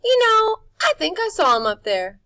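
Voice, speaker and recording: A woman's voice: extremely high pitch, huge pitch swings, normal speed, sharp tone, pitched above the performer's own voice, heavily acted. A woman putting on a sly, oily Rictus. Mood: wheedling. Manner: sly, wheedling charm over spite. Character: grinning, oily, menacing male house-keeper.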